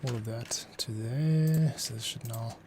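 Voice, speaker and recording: A man's voice: medium pitch, a little slow, mellow, a little hiss or hum. A man giving casual work-along commentary as a composer, muttering to himself in fragments. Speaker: composer, host. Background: music, computer keyboard clicks.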